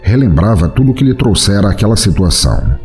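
A man narrates a dark fantasy story in a low, mellow voice. His storytelling is theatrical and scripted, with faint music playing behind him. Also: very animated, fast talker.

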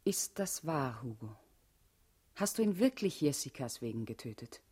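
An actress playing a guarded, urgent female party comrade in a German radio play, speaking gravely and deliberately with a husky, intimate voice. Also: rumbling low, very animated, dark tone, heavily acted. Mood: grave, probing.

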